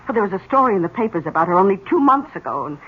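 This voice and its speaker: An actress playing a genteel, effusive older aunt who earnestly believes in psychic gifts, in 1970s radio drama style. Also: female voice, medium pitch, sing-song, very fast, slightly rough. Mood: earnest, enthralled. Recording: muffled old radio recording, faint hiss.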